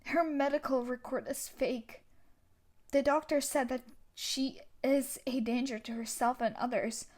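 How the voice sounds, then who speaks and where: A woman acting as a tough young female bully, sadistic and possessive. She speaks slowly and deliberately, with theatrical menace and a manipulative edge, as in a dramatic audio roleplay. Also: high voice, steady tone, a little mellow. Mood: indignant.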